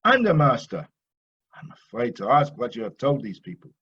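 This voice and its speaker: An actor playing a proud, boastful Dutch painter in an audio play, grandiose and self-satisfied in manner. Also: male voice, mid-range voice, big pitch swings, moderate pace, a bit bright, breathy edge, muffled recording. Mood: indignant. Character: pompous, boastful old-master Dutch painter, touchy and proud.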